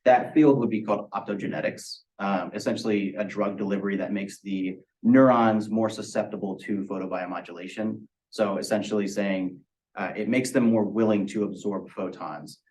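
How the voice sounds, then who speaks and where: Young man student with a lowish voice. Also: fairly even intonation, moderate pace, a little mellow, poor audio. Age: young adult. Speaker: university student presenting a project. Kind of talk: explanatory answers in a project review.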